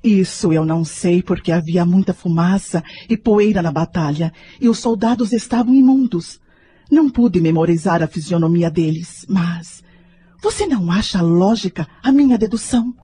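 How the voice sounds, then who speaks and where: An actress playing an earnest middle-aged mother in a radio drama, explaining things with conviction in a husky, dark voice. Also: lowish voice, moderate pace, close to an everyday voice.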